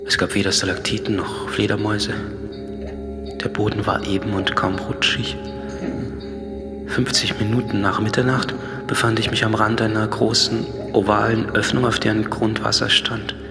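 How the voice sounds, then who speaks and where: A brooding, solitary man near forty, played by an actor, with a low, gravelly voice. He delivers an introspective radio drama monologue in measured, analytical phrases that often fall to a murmur. Music plays clearly behind him. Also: close to an everyday voice. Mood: quiet, reflective. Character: brooding, solitary thirty-nine-year-old man, coolly analytical.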